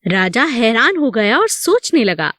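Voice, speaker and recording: A woman's voice: on the high side, very animated, measured pace, a bit bright, dry recording, studio quality. A woman narrating a scripted moral story for children.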